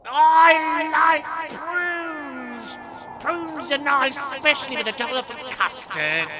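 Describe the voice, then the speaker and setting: Man putting on a squawking, high-pitched housekeeper woman. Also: animated, background noise, exaggerated voice. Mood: dotty, emphatic. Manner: bellowing, squawking, drawn-out. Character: scornful, blustery female housekeeper, loud and dotty.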